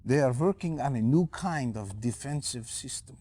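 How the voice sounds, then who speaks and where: An actor playing an excitable, eccentric male professor in a video game cutscene, halting and giggly with enthusiasm. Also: mid-range voice, close to an everyday voice. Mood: giddy, absorbed.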